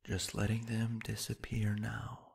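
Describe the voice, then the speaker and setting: Male sleep-hypnosis narrator: lowish, husky with some fry, soft, unhurried delivery with long pauses. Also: completely flat delivery. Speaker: guided meditation narrator. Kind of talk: sleep hypnosis guided meditation, slow scripted narration.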